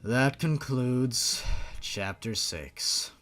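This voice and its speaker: A man's voice: mid-range voice, expressive, extremely slow, a bit bright. Male fan fiction narrator: dramatic read, very varied intonation, faint music underneath.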